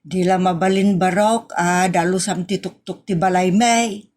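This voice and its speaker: A woman's voice: fairly low pitch, fairly even intonation, measured pace, a little mellow, natural voice. A woman with an Ilocano accent playing a grumbling, fault-finding villager in a comedy audio drama, colloquial and complaining. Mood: nagging.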